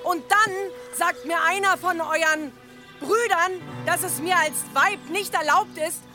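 Defiant, high-voiced pagan village mother. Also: a little slow, sharp tone, soft background music, full character voice. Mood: defiant, accusing. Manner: blunt, challenging, scornful. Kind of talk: audio drama acting.

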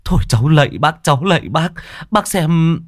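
Guy doing a flustered young husband from a village tale, pleading, tripping over words, very animated. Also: fairly low pitch, normal speed, no room sound, clean studio sound. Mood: frantic pleading. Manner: stammering, repeating words in panic.

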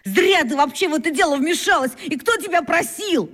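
Squeaky, boastful little schoolboy. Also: female voice, lively intonation, brisk, fairly bright tone. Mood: cross, boastful. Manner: petulant, boastful. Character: cocky little schoolboy, boastful, whiny, full of bluster. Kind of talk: children's radio play acting.

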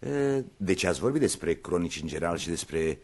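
A man's voice: deep voice, very animated. An actor playing a polite but insistent police inspector, slyly ironic as he questions suspects in a radio play. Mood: pointed, accusing.

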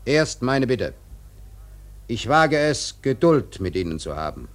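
An actor in a radio drama playing a dutiful first mate, formal, measured and respectful but stubbornly insistent. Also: male voice, medium pitch, very animated. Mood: restrained, pleading. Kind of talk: literary radio drama acting.

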